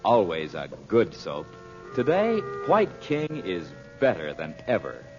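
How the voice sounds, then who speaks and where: A man's voice: low-pitched, huge pitch swings, takes it slowly. A male announcer reading a commercial in 1940s radio style. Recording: muffled old radio recording. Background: music.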